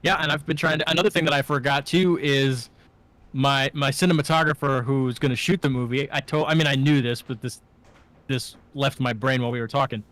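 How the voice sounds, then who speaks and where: A man's voice: mid-range voice, fairly even intonation, brisk, fairly bright tone, dry recording. A young man co-hosting a podcast in casual chat, rambling and often restarting his sentences. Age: young adult. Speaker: podcast co-host and student filmmaker.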